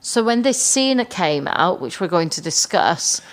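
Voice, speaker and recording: A woman's voice: fairly low pitch, very animated, measured pace. Woman chatting casually as podcast co-host. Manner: agreeable, chiming in on her co-host. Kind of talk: casual film discussion.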